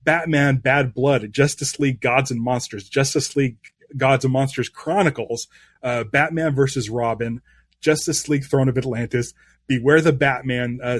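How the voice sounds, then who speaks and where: A man's voice: medium pitch, dry recording. A man hosting a live-stream interview show, eager and rambling with plenty of restarts.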